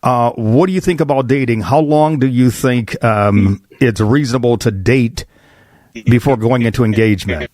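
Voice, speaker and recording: A man's voice: medium pitch, moderate pace, a little mellow, dry recording. A man hosting a church roundtable, putting questions to guests in a conversational interview style.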